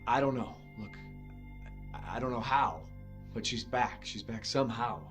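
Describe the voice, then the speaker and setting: A shaken, determined husband , played by a male actor, talks in a mid-range voice, hesitant and repetitive. It is naturalistic video game drama with clear guitar music behind. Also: medium pitch, moderate pace, fairly bright tone, natural voice. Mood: shaken, urgent. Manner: hesitant, repeating himself. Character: shaken, determined husband seeking his missing wife.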